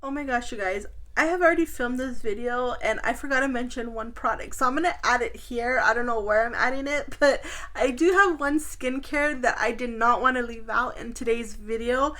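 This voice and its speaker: A woman's voice: fairly high pitch, moderate pace, pushed and bright. A woman beauty vlogger chatting casually and informally to her viewers as she reviews products.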